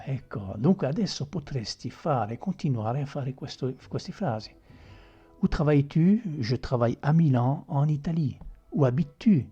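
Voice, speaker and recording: A male teacher speaks very slowly with a deeply husky, mellow voice. He repeats his examples patiently and keeps checking that the listener follows. The sound is a little dull, with faint music underneath. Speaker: French teacher. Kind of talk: grammar lesson in Italian with French examples.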